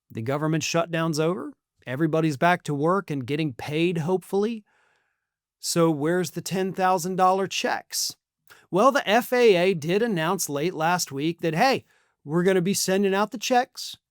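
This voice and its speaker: High-pitched American man, emphatic political commentator. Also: no echo, clean studio sound. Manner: emphatic, sarcastic, colloquial. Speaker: political commentator and channel host. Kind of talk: opinionated news commentary to camera.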